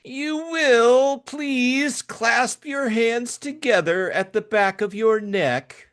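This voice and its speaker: A man puts on a bland, courteous gentleman with menace underneath, in an audiobook reading. The voice sits high and draws out each word slowly. Every phrase is formal, precise and deliberate. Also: clear, resonant tone, full character voice. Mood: coolly menacing. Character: blandly polite, menacing male client making demands.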